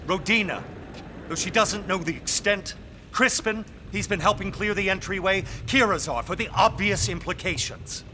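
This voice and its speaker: An actor playing a proud, defensive aristocratic lord in a period audio drama, formal and intense, with bitterness and regret. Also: male voice, sits high, moderate pace, bright, forceful tone, slight background noise.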